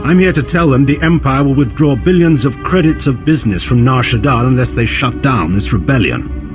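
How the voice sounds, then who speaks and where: A man's voice: medium pitch, a little fast, close to an everyday voice. A man playing a stern, haughty Imperial admiral in a video game, formal, clipped and commanding. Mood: cool authority. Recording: loud game music behind voice.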